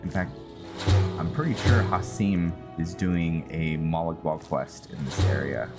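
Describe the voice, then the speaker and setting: A man's voice: sits low, measured pace. A man casually commenting while playing a role-playing game, joking and thinking aloud. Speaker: gaming video host. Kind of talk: casual let's-play commentary. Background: game music.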